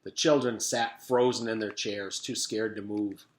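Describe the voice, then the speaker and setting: A man, a teacher, reading a children's book aloud and pausing to explain it to his pupils. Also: lowish voice, roomy sound. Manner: expressive, pauses to explain to pupils.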